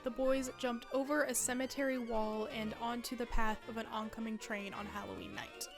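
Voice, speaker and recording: Woman, twenties, higher-pitched, casual scripted narration, conversational, wry, soft music underneath. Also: little pitch movement, moderate pace. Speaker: video essayist.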